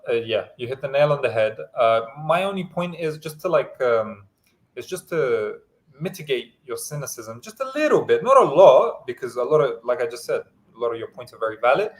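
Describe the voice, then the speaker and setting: Male host whose first language is Pashto, mid-pitched, relaxed and filler-heavy, casual livestream chat. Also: brisk. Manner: easygoing, many hesitant fillers.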